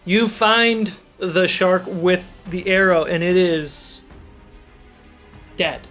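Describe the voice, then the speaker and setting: Game master for a tabletop fantasy campaign, medium pitch, music underneath. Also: very slow, fairly bright tone.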